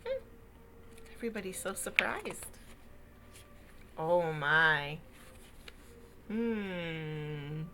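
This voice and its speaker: Lady doing storytime for kids, really swoopy expressive voice, bright and dramatic. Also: fairly low pitch, extremely slow, pauses often, slight background noise. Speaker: storyteller reading a picture book aloud to children. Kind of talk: animated read-aloud narration with asides.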